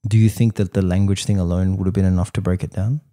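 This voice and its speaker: A man co-hosting a podcast, arguing his points in casual, animated debate and often saying "bro". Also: sits low, fast talker. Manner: animated, argumentative, says "bro" a lot. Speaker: podcast co-host.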